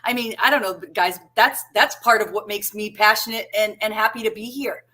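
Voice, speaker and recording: A woman with a Massachusetts accent talks in a chatty, easy way, often checking in with "right?". Her voice sits at an ordinary middle pitch. She is heard over a video call. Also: fairly even intonation, a little fast. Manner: chatty, warm, seeks agreement with frequent "right?". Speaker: co-host. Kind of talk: casual chat.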